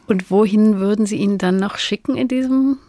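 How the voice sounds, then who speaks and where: A woman hosting a literary interview, speaking in a measured, thoughtful way. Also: mid-range voice, warm tone. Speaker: interviewer, radio host.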